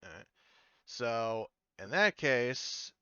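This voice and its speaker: A man's voice: mid-range voice, sing-song, drawn-out words, pushed and bright, smooth, no echo, lo-fi sound. A man game master improvising his narration of an online tabletop game, hesitantly thinking aloud and swearing casually.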